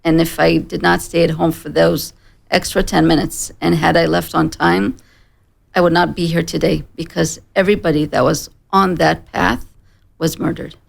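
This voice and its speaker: A woman in her forties from Israel with a slight American accent, giving a personal account as a studio interview guest in plain, measured sentences. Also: sits low, level tone, gravelly. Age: 40s. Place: broadcast studio.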